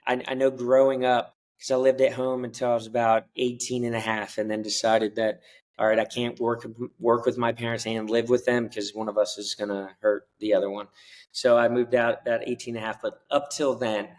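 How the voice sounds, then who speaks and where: Laid-back man speaking in a flat tone. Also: mid-range voice. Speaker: podcast guest. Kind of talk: casual interview conversation.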